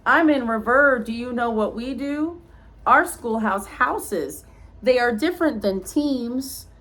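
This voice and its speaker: A woman's voice: a little high, expressive, slow, a bit bright. A woman reading the part of a proud, welcoming schoolboy in a rhyming storybook, earnestly declaring his lines.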